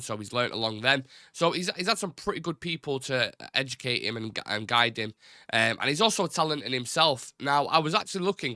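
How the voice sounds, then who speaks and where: A man's voice: medium pitch, bright, forceful tone, no room sound, studio quality. A young man with a blocked, nasal voice, hosting a casual football live-stream chat. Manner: rambling, chatty, informal. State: congested, blocked nose. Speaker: football channel host.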